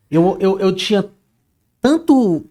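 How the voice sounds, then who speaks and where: A man talking casually as an interview guest, telling a story with lots of repetition and filler words. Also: sits high. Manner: animated, repeats words, says "cara" often. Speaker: guest, Portuguese teacher.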